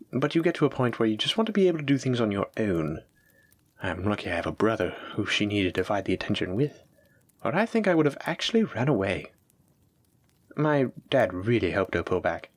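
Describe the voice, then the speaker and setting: A man playing a doting, gently possessive dragon-blooded librarian, speaking tenderly and coaxingly to his sweetheart in an intimate audio roleplay. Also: lowish voice, husky. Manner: tender, coaxing, affectionate, reassuring. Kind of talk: intimate scripted audio roleplay addressed to the listener.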